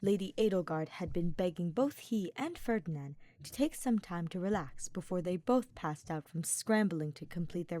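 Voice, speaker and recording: A woman with a slightly low voice reads scripted fiction aloud. Her storytelling is expressive and deliberate, and the sound is close and dry, with little room in it. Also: no echo. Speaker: audiobook-style reader of fan fiction.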